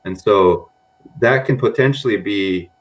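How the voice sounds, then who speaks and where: Deep-voiced man, rambling and casual. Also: unhurried, dull audio. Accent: mixed American. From grew up in Japan among Americans. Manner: rambling, frequent "you know" and "um". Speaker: podcast guest, data scientist. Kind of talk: casual podcast interview.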